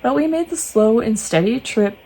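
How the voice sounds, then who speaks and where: A woman reading true ghost stories aloud, breaking in now and then with jokey, animated asides. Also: on the high side, normal speed, breathy edge. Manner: animated, jokey asides between read passages.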